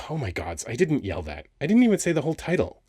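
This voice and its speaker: A man playing a handsome, playful male sphinx guarding a temple in an ASMR roleplay, talking in a teasing, expressive, conversational way. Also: medium pitch, fast, husky, no echo, clean studio sound. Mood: excited, playful. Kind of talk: ASMR roleplay audio acting.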